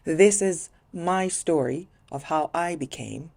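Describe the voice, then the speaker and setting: Woman vlogger, thirties, non-native English speaker from Ukraine; low-pitched, chatty and animated. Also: slow pace, creaky. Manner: chatty and lively, with sudden rises in pitch for emphasis. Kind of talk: personal vlog storytelling.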